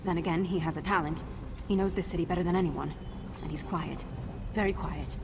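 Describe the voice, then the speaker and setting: A low-voiced, guarded secret agent. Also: female voice, fairly even intonation, a little fast, creaky, noisy background. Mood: wry, sardonic. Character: wry, guarded female undercover agent. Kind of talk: video game character acting. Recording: prominent music behind the voice.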